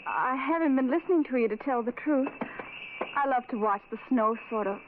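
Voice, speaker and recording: High-voiced dreamy young woman. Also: animated, brisk. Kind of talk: old-time radio drama acting. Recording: tinny noisy old radio recording.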